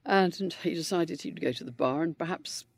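An older man speaking in a measured, reflective way, his voice slightly raised in pitch for a man and carrying a gravelly edge. The sound is dry and close, with no room around it. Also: dry recording. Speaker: interviewee, widower of a politician. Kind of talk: reflective interview answers.

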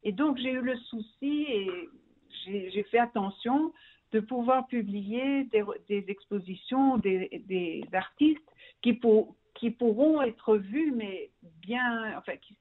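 A woman's voice: a little high, slow pace, slight background noise. Middle-aged woman on a thin phone line. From Brussels, Belgium. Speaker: magazine founder, interview guest. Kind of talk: radio interview by phone.

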